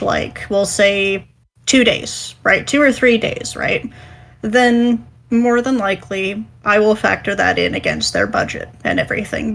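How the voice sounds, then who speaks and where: A woman with a mid-pitched, noticeably creaky voice explains things casually on a panel. She is matter-of-fact, laying out points in order. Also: fairly bright tone, a little hiss or hum, poor audio. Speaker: podcast panelist, commission writer.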